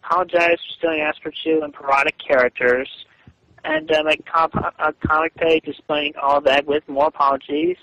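A man in a halting phone conversation, speaking in a stilted, deliberate way and repeating himself, over a muffled line. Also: a little high, fairly even intonation, sharp tone. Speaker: person on a phone call.

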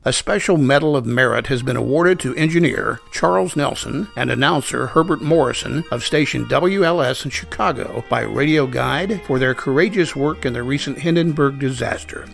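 A man's voice: mid-range voice, normal speed, gravelly, few pauses, fairly bright tone, faint music behind. A man reading a formal, scripted historical announcement.

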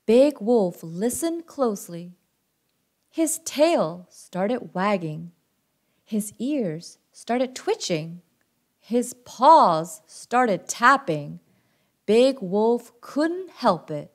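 A woman reading a picture book aloud to children as host and narrator, expressive and deliberate in her delivery. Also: mid-range voice.

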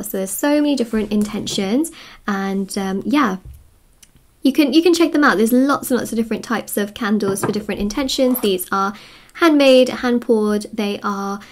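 A woman's voice: mid-range voice, creaky, a little mellow. A woman giving a casual, unscripted tarot reading, musing aloud and rephrasing herself as she goes. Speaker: tarot reader and host. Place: indoors at a wooden table.

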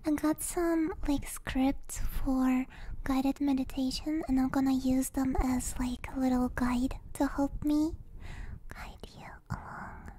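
A young woman speaking in a soft, breathy, often whispered voice, chatting hesitantly and gently as an ASMR streamer close to the microphone. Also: high voice, little pitch movement, slow pace, a little hiss or hum. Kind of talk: ASMR, casual chat with viewers. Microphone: close stereo ASMR microphone.

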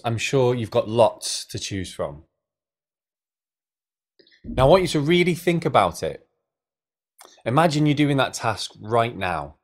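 A man's voice: mid-range voice, a bit bright. A man in his thirties preaching an all-age sermon in an engaging, direct way, putting questions to his listeners. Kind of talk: all-age sermon, addressing kids and adults. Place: small plain indoor room.

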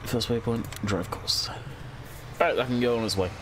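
A man's voice: medium pitch, moderate pace, noisy background. Congested young man chatting over gameplay. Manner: chatty and rambling, thinking aloud while playing. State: congested from a cold. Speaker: gaming channel host. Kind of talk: casual let's-play commentary.

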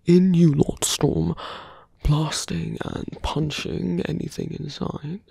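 Suave villain mage for fantasy ASMR roleplay, played by a man: very creaky, breathy, slow and deliberate, mocking with calm menace. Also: a little high, no echo, studio quality, heavily acted. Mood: smug, taunting. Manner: hushed, deliberate, condescending, often dropping to a whisper.

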